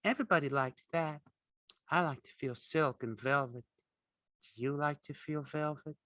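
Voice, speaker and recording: Man putting on a vain, high-voiced young ranch wife. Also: normal speed, bright, forceful tone, no echo. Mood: chatty. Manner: casual, folksy, self-satisfied speech. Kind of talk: audiobook character acting.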